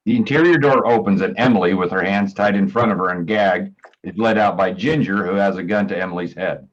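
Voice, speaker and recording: A man with a very deep voice narrates stage directions from a script. The sound is a little dull and lo-fi. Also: brisk.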